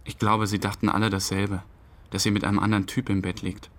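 A man's voice: fairly low pitch, little pitch movement, fast talker, close to an everyday voice. An actor playing a distraught, brooding husband telling his story in an interview-like radio drama. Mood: bitter, brooding.

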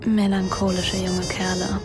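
A woman's voice: medium pitch, completely flat delivery, measured pace, natural voice. An actress playing the candid, musing inner voice of a young woman in a radio play. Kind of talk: radio play interior monologue. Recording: loud music behind the voice.

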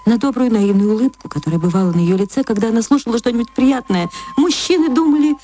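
A woman reading a short story for radio as an actress, in expressive literary narration. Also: on the high side, fast talker. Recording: music under the voice.

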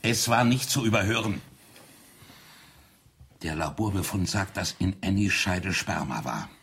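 Gravelly, stern detective. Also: male voice, low-pitched, lively intonation, fairly bright tone, heavily acted. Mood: stern, accusing. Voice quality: gravelly, husky. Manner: deliberate, cutting. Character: stern, accusing male police detective cornering a suspect. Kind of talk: radio drama acting.

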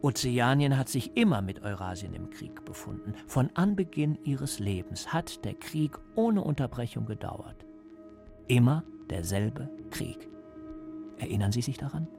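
An actor playing a coldly calm party interrogator in a radio drama, measured and didactic, paternal yet menacing, with a creaky voice. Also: male voice, mid-range voice, over music. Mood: calmly insistent.

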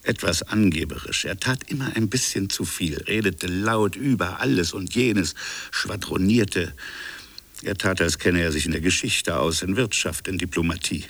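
A reflective colonial official, speaks in a very low, creaky voice with a husky grain. He tells his story as an intimate radio-drama monologue, in short musing fragments broken by pauses. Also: fairly bright tone. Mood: guarded, recollecting. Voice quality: gravelly, husky. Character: reflective French colonial official recounting a buried affair. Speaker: narrator and protagonist.

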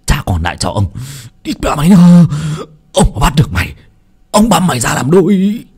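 A man's voice: fairly high pitch, sing-song, fast talker, husky, voice pushed up, heavily acted. A man voicing a panicked grown village father who wails and pleads in stretched-out cries. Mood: raging.

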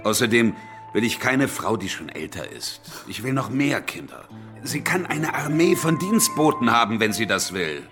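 A man's voice: medium pitch, very animated, normal speed, over music. An actor playing a blunt, commanding man in his thirties, a rich suitor in a radio play. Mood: matter-of-fact, insistent. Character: domineering blunt rich widower of thirty-three, courting.

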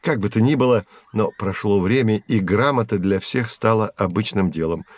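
An actor playing a learned professor who explains history in a measured, didactic way in an educational audio drama. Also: male voice, lowish voice, darkish tone, lightly acted. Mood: patient, explanatory. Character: learned male professor guiding children through history.

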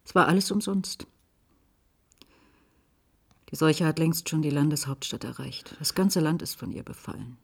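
A woman playing a resigned, fatalistic doctor with a husky voice in a radio drama. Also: sits low, moderate pace, pauses often, exaggerated voice. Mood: bleak, hushed.

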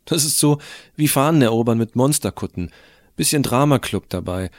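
A man playing a geeky, cheeky teenage boy who tells his story in colloquial, expressive first-person audio drama narration. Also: mid-range voice, moderate pace, dry recording, clean studio sound, natural voice. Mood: wry, animated.

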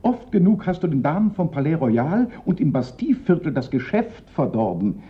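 Radio play casting: self-pitying prefect, higher-set voice with very animated swings, whining and pompous; muffled lo-fi sound. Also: male voice, normal speed. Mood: wounded indignation. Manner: theatrical, indignant, lamenting. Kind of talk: German radio drama acting. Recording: dull, lo-fi old radio recording.

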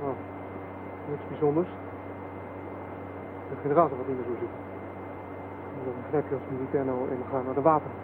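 An actor playing a menacing, cynical soldier in a radio play, taunting and offhand by turns. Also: male voice, mid-range voice, fairly even intonation, fairly quick, pauses often, close to an everyday voice. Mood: cynical, evasive. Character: menacing, cynical male soldier interrogating his superior. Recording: noisy, thin phone-like old radio recording.

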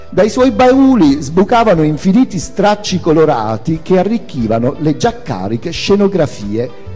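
Expressive male memoir reader in reverberant hall. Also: medium pitch. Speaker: author and narrator reading a memoir. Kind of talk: expressive theatrical reading of autobiographical prose.

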